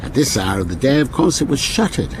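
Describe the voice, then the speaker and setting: Radio ghost story: a courteous, insistent gentleman storyteller, measured and solicitous, animated intonation, clear background noise. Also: medium pitch. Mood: atmospheric, suspenseful. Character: courteous, softly insistent male storyteller and train passenger.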